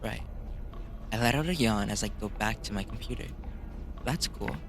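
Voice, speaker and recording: Man, low pitch, casual let's play narration, slight stumbles, game music behind. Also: moderate pace. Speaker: gamer reading a visual novel aloud.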